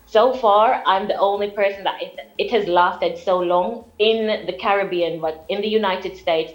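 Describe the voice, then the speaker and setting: Young woman from Jamaica, British-sounding accent, low-pitched, earnest TV guest. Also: low-quality recording. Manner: earnest, explains at length. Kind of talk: live TV interview.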